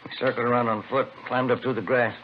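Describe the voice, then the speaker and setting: An actor playing a brisk, folksy American police partner in a vintage radio crime drama. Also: male voice, mid-range voice, fairly even intonation, close to an everyday voice. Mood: urgent, commanding. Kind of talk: 1940s-50s radio crime drama acting. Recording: dull, hissy vintage radio recording.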